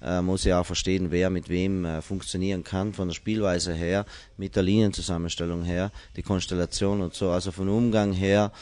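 A middle-aged man, a head coach, answering interview questions indoors into a handheld mic, measured and hesitant with frequent fillers. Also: deep voice, level tone, a little mellow. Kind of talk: post-training interview. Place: indoor press wall, small room. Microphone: handheld mic held by interviewer.

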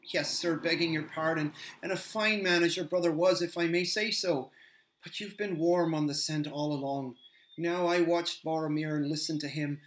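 Loyal humble hobbit servant, eager and rustic, pitched up, voiced by a man, roomy echo. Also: a bit bright, natural voice. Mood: earnest. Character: humble cheerful male hobbit gardener, loyal.

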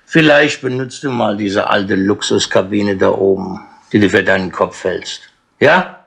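An actor playing a wry, cajoling police inspector in a 1960s radio crime play, talking in a sardonic, persuasive way. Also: male voice, fairly low pitch, unhurried, vocal fry, a bit bright.

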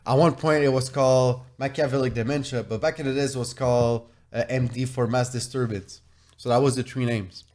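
A man's voice: mid-range voice, steady tone, brisk, a little mellow. A man co-hosting a podcast, telling an anecdote casually in a rambling way and repeating himself. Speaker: podcast co-host.

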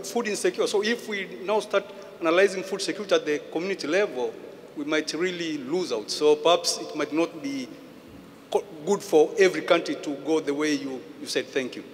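A man from Tanzania, his voice a little higher than most, poses a question from the floor with careful, slightly halting phrasing. His words ring out through a reverberant hall with a faint hiss behind them. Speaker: audience member asking a question. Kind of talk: conference question.

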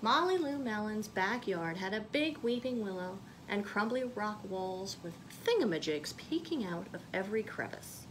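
A woman in her thirties, a children's librarian, reading a picture book aloud expressively with clear phrasing in a small room. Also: mid-range voice, animated, moderate pace, few pauses, noisy background. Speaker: children's librarian, storytime reader.

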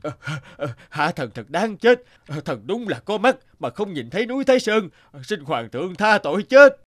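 An actor playing a corrupt, blustering, cowardly cartoon official with an exclamatory manner. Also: male voice, sits high, sing-song, normal speed, a bit bright. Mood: terrified, grovelling. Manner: gloating, then grovelling, exclamatory.

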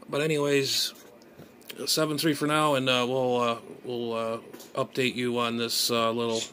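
Male hobbyist vlogger, mid-range pitch, halting think-aloud delivery, casual and unrehearsed, noisy phone recording. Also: flat delivery. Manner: hesitant, muttering, thinking aloud. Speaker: amateur radio hobbyist vlogger. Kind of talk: casual unscripted gadget unboxing commentary. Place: small bedroom, indoors. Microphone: phone at arm's length.

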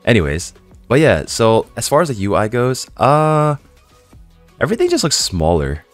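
A young man with a hoarse voice from a cold, chatting casually to viewers while playing a game, rambling with lots of fillers. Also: medium pitch, huge pitch swings, normal speed, soft background music. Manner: chatty, rambling, many fillers and self-questions. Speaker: gaming YouTuber.

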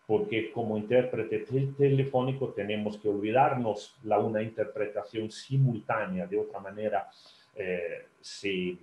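A man's voice: fairly low pitch, darkish tone. A man whose first language is Italian, giving a talk to students over a video call, hesitant and full of fillers, often asking the audience questions. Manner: hesitant, many fillers and restarts, asks the audience. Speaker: telephone interpreter giving a talk. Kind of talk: lecture to students. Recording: roomy video call audio.

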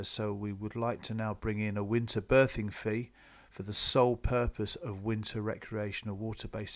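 A man presenting club business to members in a measured, businesslike, explanatory way, partly from a script. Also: fairly low pitch. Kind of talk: slide presentation, semi-scripted explanatory talk.

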